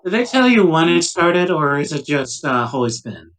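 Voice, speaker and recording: A man's voice: on the high side, sing-song, normal speed, a bit bright. A man playing a tabletop roleplaying game, speaking casually in character. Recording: distant microphone.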